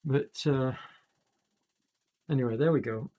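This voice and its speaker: A man with a chest cold giving loose, rambling live commentary on a virtual cycling event as its host, often pausing and correcting himself. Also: mid-range voice, phone-quality sound. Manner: rambling, self-correcting, many fillers. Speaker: e-racing commentator and streamer.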